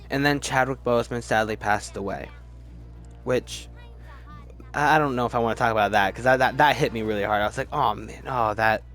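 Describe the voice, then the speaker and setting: A man's voice: mid-range voice, a bit bright. A man giving casual, opinionated review commentary as a video host, talking emphatically and often stammering and repeating words. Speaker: video host ranking films.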